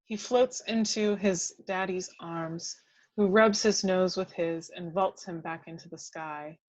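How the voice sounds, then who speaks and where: A woman's voice: lowish voice, steady tone, unhurried, dry recording, lo-fi sound. Woman narrating screenplay action. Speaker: reader of stage directions. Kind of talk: scripted narration at an amateur table read.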